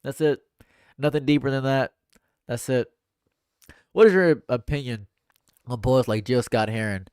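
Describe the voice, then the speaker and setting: A young man with a stuffed-up nose, reading chat comments on a livestream in a dry, deadpan way. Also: mid-range voice, unhurried, long pauses, smooth, dry recording. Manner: dry and deadpan, laughs at own jokes. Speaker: livestream host. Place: home room.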